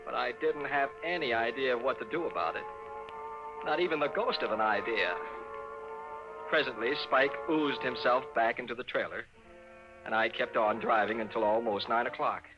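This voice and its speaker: An actor playing a fussy, exasperated trailer owner with an American accent and proper wording, in 1950s radio drama style. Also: male voice, medium pitch, moderate pace, lightly acted. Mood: wry, worried. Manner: proper wording, indignant, put-upon. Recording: muffled old radio recording with music.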